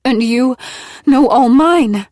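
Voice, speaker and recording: A woman's voice: high voice, slow, a little mellow, dry recording, studio quality, pitched above the performer's own voice. A woman reader voicing a terrified pregnant woman in labor, desperately begging for help. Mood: pleading.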